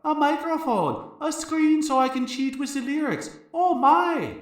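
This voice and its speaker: A man's voice: extremely high pitch, sing-song, unhurried, heavily acted. Man playing a fussy German singer, swooping voice. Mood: mock-impressed, impatient. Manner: haughty, fussy, theatrical. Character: fussy, bossy German singer in a studio duo. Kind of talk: scripted audio comedy.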